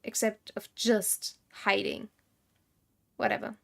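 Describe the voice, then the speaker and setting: A woman's voice: mid-range voice, flat delivery, slow, gravelly, fairly bright tone. A woman game streamer giving casual live commentary, musing and thinking aloud.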